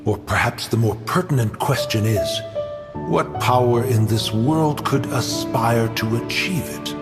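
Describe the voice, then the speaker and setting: An actor playing a haughty, ancient guardian spirit in a game, speaking in a stern, stately, archaic manner. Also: male voice, medium pitch, lightly acted. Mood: shocked, indignant. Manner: stern, archaic, stately, slow formal speech. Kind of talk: dramatic game voice acting. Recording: long echoing reverb with music behind.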